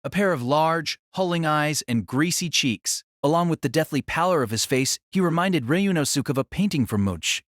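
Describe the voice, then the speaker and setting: A man's voice: fairly high pitch, a bit bright, no room sound, clean studio sound. A man narrating a scripted story in a dramatic audiobook style.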